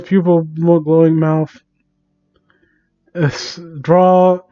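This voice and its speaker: Male livestreamer, high voice, unhurried halting pace, sarcastic exasperated commentary, sweary. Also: mellow, dull audio. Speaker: live streamer reading and mocking online fan fiction. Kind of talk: casual livestream reading and commentary.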